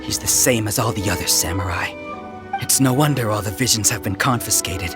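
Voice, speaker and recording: A man's voice: lowish voice, brisk, over music, close to an everyday voice. An actor playing an aggrieved, bitter village clansman who speaks indignantly in a video game. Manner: indignant, demanding. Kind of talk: video game cutscene dialogue.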